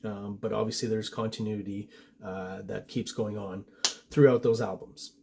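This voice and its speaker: A man from Quebec, a native French speaker, hosting a relaxed comic book review with rambling asides and lots of fillers. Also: lowish voice, moderate pace, vocal fry, a little hiss or hum. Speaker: host and comic artist.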